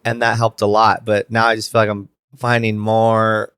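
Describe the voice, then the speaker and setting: A man's voice: fairly low pitch, completely flat delivery, moderate pace, fairly bright tone, clear, resonant tone, no echo, studio quality. A man co-hosting a podcast in casual chat, blunt and informal, often trailing off mid-thought. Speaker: podcast co-host.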